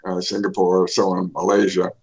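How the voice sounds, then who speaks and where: An older man, an author, telling his life story in a radio interview, pausing often with fillers and restarts. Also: sits low, monotone, a little mellow, lo-fi sound. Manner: rambling, many fillers and restarts. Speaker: author guest.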